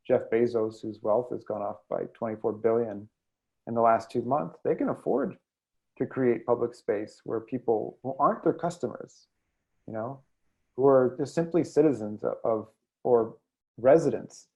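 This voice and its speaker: An American man speaking as a guest in an interview, reflective and hesitant, often pausing mid-sentence as he thinks. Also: mid-range voice, creaky, narrow, tinny audio. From United States. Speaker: guest poet and editor. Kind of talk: thoughtful interview conversation.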